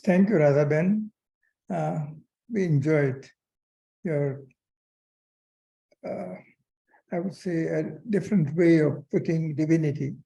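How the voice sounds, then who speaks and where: An older man gives a formal vote of thanks in a gravelly, very dark voice. He pauses often with "uh" and heaps earnest praise on the speaker. His pitch sits a little high for a man. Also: poor audio. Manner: halting, full of "uh", earnest praise. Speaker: senior guest giving vote of thanks. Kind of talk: formal thank-you speech.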